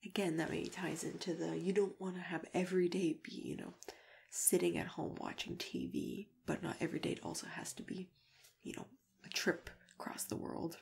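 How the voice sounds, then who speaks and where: A woman playing a kindly, patient matchmaker in an ASMR roleplay, speaking softly and often whispering in a gentle, hesitant way. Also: on the low side, flat delivery, moderate pace, exaggerated voice. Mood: gentle, attentive. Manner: gentle, hesitant, murmured acknowledgements. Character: kindly, patient female monster matchmaker interviewing a client. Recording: clear background noise, keyboard typing and clicks. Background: computer keyboard typing.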